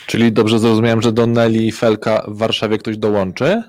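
A man's voice: fairly low pitch, monotone, fairly quick, a little mellow, dry recording, clean studio sound. A man co-hosting a radio interview in a casual, conversational style. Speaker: radio show co-host.

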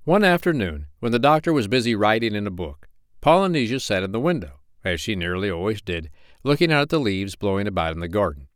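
A man narrating a children's bedtime story as an audiobook reader, in an expressive, measured storytelling manner. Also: lowish voice, no echo, studio quality.

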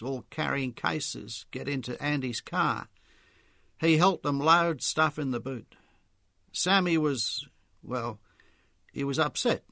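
A man voicing a motherly older Australian woman, a sad and gentle neighbour in a story. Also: fairly high pitch, gravelly, no room sound, studio quality, exaggerated voice. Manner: wistful, gentle, reluctant.

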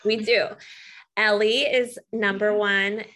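A woman's voice: medium pitch, slow, poor audio. A woman in her thirties co-hosting a casual talk show, telling stories and acting out quotes with animation. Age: 30s. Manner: animated storyteller, acts out quotes. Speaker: podcast co-host. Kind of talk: casual chat.

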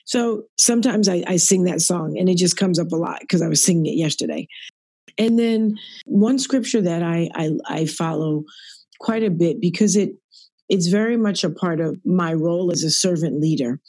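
A middle-aged woman with a deep, raspy voice, a podcast guest speaking earnestly about her faith and growing up. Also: moderate pace, a little mellow, dry recording. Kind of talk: reflective podcast interview. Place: at work.

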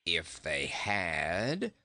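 A man voicing a skinny, wise old talking goat with a calm, dry, superior manner. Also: low-pitched, very slow, dry recording, voice pushed down, exaggerated voice. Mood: calm, smug.

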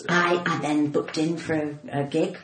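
A woman's voice: deep voice, little pitch movement, normal speed. A middle-aged woman with a cut-glass English accent, chatting casually and theatrically as she tells anecdotes in an interview. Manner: flamboyant, theatrical; giggly. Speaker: comedian and actor being interviewed. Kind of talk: casual chat, personal anecdotes. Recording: roomy echo, clear background noise.

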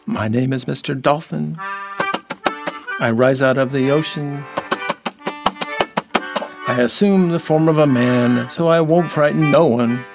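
Man playing a slow, gravelly, lonely dolphin-man. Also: medium pitch, poor audio. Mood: solemn, confessing. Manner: halting, dreamy, earnest storytelling. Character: gentle lonely male dolphin-man, half human, half fish. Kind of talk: audio drama acting. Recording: music behind the voice.

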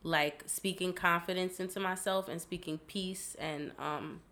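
A woman's voice: fairly low pitch, fairly even intonation, a little slow, a bit bright. A woman hosting a podcast in casual chat, a bit congested, rambling and giggly with lots of fillers. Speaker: podcast host.

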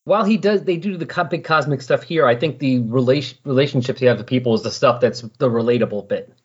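A man's voice: medium pitch, quick pace, slightly rough. A man hosting a casual, rambling comic-book recap, slipping into paraphrased dialogue as he goes. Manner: animatedly paraphrases characters' dialogue in casual asides. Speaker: podcast host discussing comics. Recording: dull, lo-fi voice recording.

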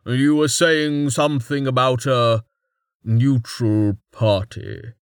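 A man voicing a huge armored golem warrior who talks bluntly and flatly in slow, clipped lines. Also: mid-range voice, clean tone, dry recording, clean studio sound, lightly acted.

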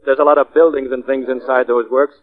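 Male, actor as clipped subordinate cop, quick delivery, forties radio drama, very narrow muffled sound. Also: medium pitch, little pitch movement, lightly acted. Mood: businesslike. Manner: clipped, matter-of-fact. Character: businesslike subordinate cop reporting to his boss. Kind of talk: 1940s radio drama acting. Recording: tinny, narrow old radio broadcast.

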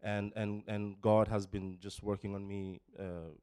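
A man in his forties from Kenya shares his testimony with a congregation. His voice sits very low and sounds smooth and resonant. It is close and dry on the microphone, broken by pauses and restarts. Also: little pitch movement, darkish tone, no room sound. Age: 40s. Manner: halting, many fillers and restarts, emotional. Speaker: church member giving testimony. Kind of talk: personal testimony. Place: church. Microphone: handheld microphone.

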